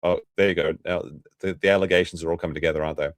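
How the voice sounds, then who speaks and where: A man's voice: low voice, fairly even intonation, racing, no echo, clean studio sound. A man hosting a late-night livestream, rambling in an offhand way and restarting his sentences. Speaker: streamer host.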